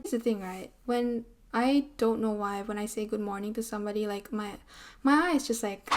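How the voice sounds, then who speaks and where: A woman in her twenties with a Malaysian accent, speaking in a slightly high voice. She chats to her camera from her chair in a loose, animated way. Her talk is full of fillers like "like" and "you know". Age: 20s. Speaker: vlogger. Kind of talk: casual talking-head vlog. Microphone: camera mic, seated on a chair.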